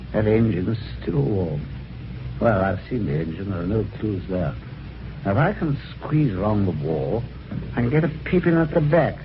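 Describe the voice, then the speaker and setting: An actor playing a well-mannered, slightly dim British husband in an old-time radio mystery, talking in a chatty, hesitant, old-fashioned way. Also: male voice, lowish voice, gravelly, heavily acted. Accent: British English. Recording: dull, faintly noisy vintage radio recording.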